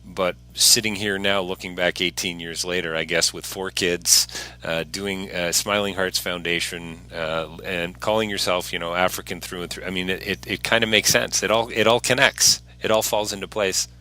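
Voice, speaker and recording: Deep-voiced, bright male podcast interviewer. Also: fairly even intonation, normal speed. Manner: earnest, encouraging. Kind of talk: casual conversational interview.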